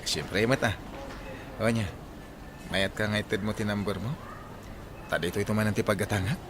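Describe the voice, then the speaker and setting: A man's voice: fairly low pitch, fairly even intonation, moderate pace, noisy background, natural voice. A man acting as a flirtatious, scheming married man in a comedy-drama radio play.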